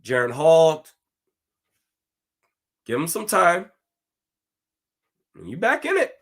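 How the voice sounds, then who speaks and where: A man with a slightly raspy, hoarse voice, giving casual live commentary on a football game and reading out viewer comments. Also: on the high side, huge pitch swings, slow pace. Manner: casual, talks to chat, reads comments aloud. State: hoarse from screaming. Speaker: watch party streamer.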